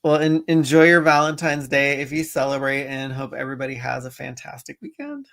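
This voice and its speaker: Man co-host, easygoing, gravelly, brief answers. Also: medium pitch, expressive, brisk, fairly bright tone. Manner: laid-back, brief replies, says y'all. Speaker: toy news podcast co-host. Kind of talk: casual chat.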